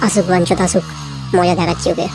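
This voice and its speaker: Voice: medium pitch, flat delivery, quick pace, vocal fry, natural voice. An actor voicing a brash, fearless father hero in a comedy cartoon, boastful and given to bellowing short calls. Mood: taunting. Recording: music behind the voice. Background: music.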